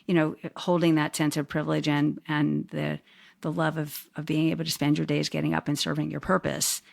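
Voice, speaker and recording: A woman's voice: low voice, flat delivery, fairly quick, gravelly, a little mellow, dry recording, studio quality. A woman hosting a podcast interview, affirming and reflective, using "you know" often. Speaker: podcast host. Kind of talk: conversational interview.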